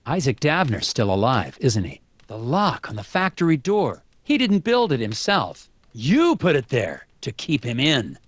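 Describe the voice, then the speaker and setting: Swoopy-voiced, puzzled game investigator. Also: male voice, mid-range voice, wildly swooping pitch, measured pace, hardly any pauses, dry recording. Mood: probing. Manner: inquisitive, talking to himself. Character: earnest, puzzled male investigator, inquisitive and uneasy. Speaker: voice actor in a puzzle adventure game. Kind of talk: animated game dialogue and narration.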